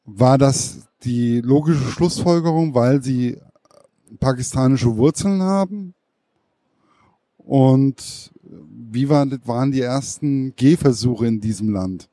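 A man interviewing a guest, phrasing his questions slowly and hesitantly, searching for words. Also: medium pitch, mellow, no room sound. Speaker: interviewer, host.

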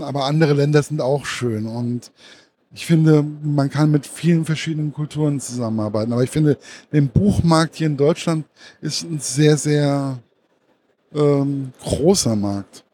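A man conducts an interview, feeling his way through his questions with fillers and repeated words. His voice is slightly husky and mellow, fairly high for a man. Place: book fair.

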